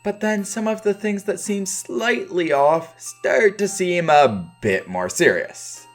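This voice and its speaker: A man's voice: high-pitched, lively intonation, slow. Guy reading a scripted review, casual and a bit dry, voice moves up and down a lot, music underneath. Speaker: video game reviewer.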